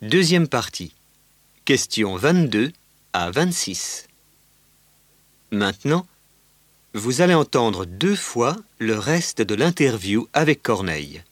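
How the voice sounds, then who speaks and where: Measured male exam narrator. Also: medium pitch, dry recording. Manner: measured, formal. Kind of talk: reading listening-test instructions.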